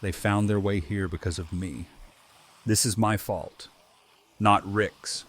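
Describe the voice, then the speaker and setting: A somber, guilt-ridden man who has survived disaster played by an actor speaks in a lowish voice. He sounds honest and confessional, in the style of an audio drama. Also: fairly even intonation, slow, a bit bright, breathy edge, exaggerated voice. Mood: guilty, somber.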